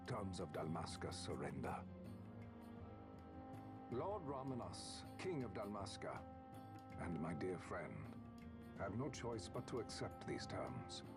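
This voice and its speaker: Solemn old chronicler narrating a fantasy game, low voice, formal and measured, big music behind. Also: male voice, big pitch swings, full character voice. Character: grave older male chronicler of royal history. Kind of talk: solemn scripted cinematic narration. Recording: loud orchestral music behind.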